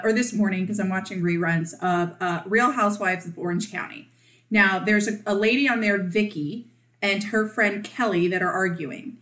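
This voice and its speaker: Bright, chatty woman podcast host. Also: mid-range voice, roomy sound. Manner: chatty, laughs often, acts out quoted voices. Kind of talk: casual podcast chat.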